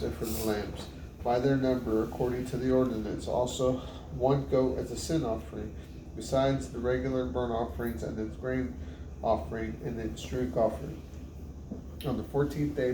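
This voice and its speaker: A man reads scripture aloud in measured, list-like phrases, with an occasional stumble. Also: mid-range voice. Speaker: Bible reader. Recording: roomy, distant mic with loud background noise.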